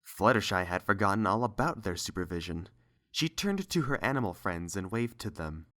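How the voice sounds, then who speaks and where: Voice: low voice, expressive, moderate pace, fairly bright tone. A narrator reading scripted story prose.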